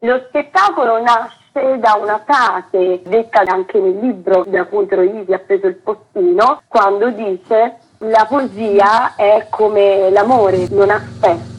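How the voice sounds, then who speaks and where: Slightly husky woman giving an interview. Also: medium pitch, slow, few pauses, rough recording. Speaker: theatre director, interview guest. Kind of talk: radio interview.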